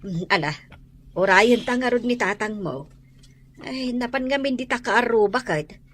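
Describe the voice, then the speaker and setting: A woman acting a caring mother who gently questions her grown son in a radio drama. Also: fairly high pitch, animated, a little slow, slight background noise. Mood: concerned.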